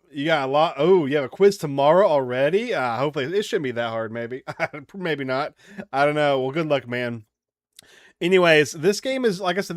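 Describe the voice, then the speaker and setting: A man hosting a gaming stream, chatting informally and haltingly as he comments and reads on-screen text aloud. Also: fairly high pitch, no echo, studio quality. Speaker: livestream gaming host.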